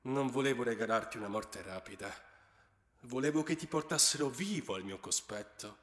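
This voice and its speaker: A man voicing a scornful, menacing male god of the underworld in slow, low tones, with a heavy reverb effect on his voice. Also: expressive, a bit bright. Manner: slow, low, threatening delivery. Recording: cavernous echoing reverb effect.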